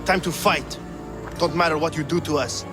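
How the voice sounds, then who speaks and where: An actor playing a blunt, embittered working-class thug of ancient Athens in a video game. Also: male voice, on the high side, moderate pace, close to an everyday voice. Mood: defiant. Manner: blunt, defiant. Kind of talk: video game dialogue acting. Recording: music under the voice.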